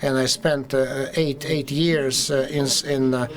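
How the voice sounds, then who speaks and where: A Russian man with a Russian accent, speaking as a panelist at a conference discussion, halting and full of fillers and restarts. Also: medium pitch, level tone.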